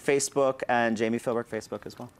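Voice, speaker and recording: A man arguing earnestly and a little haltingly as a guest in a casual film discussion. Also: medium pitch, fairly even intonation. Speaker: panel guest, film writer.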